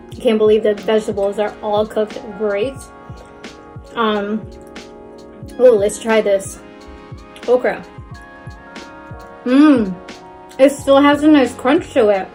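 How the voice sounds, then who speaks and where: A woman's voice: on the high side, slow pace, pauses often, darkish tone, soft background music, rough recording. A young Filipino-American woman, a native Tagalog speaker, cheerfully talking viewers through a cooking demonstration step by step. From Philippines. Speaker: cooking channel host.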